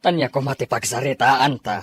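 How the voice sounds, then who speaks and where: A woman playing a concerned middle-aged father in a family drama, with a husky voice. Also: a bass voice, a little fast, no room sound, studio quality. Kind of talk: Ilocano family drama acting.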